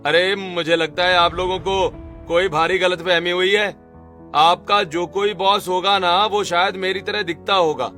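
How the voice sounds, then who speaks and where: A man's voice: high voice, steady tone, unhurried, bright, forceful tone, soft background music. A man playing a young husband in a cartoon story, a secret billionaire posing as a poor labourer. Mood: evasive. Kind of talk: Hindi cartoon story acting.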